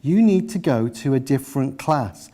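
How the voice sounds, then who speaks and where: A man's voice: a little high, very animated, brisk, mellow. A man reading aloud as a woman primary school teacher, polite but flustered and insistent. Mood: insistent, exasperated.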